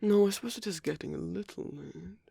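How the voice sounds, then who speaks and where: A man playing a suave, sly aristocratic villain in an ASMR roleplay, speaking in a soft, breathy, often near-whispered voice with languid, teasing politeness and veiled menace. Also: high voice, brisk, dry recording, studio quality, full character voice. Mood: feigned polite boredom. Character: suave sly aristocratic villain, flirting and secretly scheming at a masquerade. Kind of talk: ASMR roleplay, intimate scripted acting.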